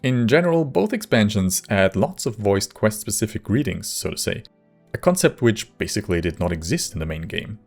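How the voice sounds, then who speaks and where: A man with a low voice narrates a scripted video essay as its host. Music plays clearly under his voice. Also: big pitch swings, brisk, a little mellow.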